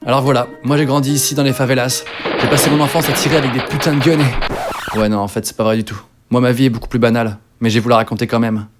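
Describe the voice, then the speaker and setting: A man's voice: medium pitch, level tone, fast talker. A young man in his twenties from northern France, telling his life story as a jokey, self-mocking voice-over. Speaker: video creator. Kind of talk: comic autobiographical voice-over. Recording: voice-over with music behind.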